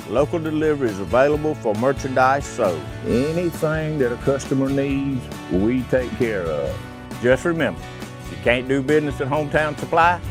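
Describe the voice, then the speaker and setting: A man speaking as the spokesman in a plainspoken local store commercial. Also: medium pitch. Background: background music.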